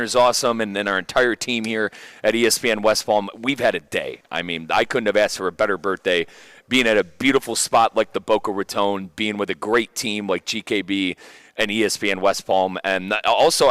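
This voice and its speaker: A man's voice: fairly low pitch, a bit bright, no room sound. A thirty-year-old man hosting a sports radio show, chatting casually and animatedly, often repeating and stumbling over his phrases. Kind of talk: casual radio chat, personal.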